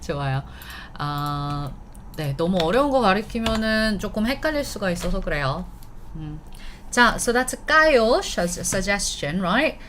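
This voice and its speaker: A woman's voice: medium pitch, sing-song, very fast, a bit bright, a little hiss or hum. A woman from Korea with a Korean accent, teaching a live online language lesson in a chatty manner, often checking in with her students. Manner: switches between English and Korean.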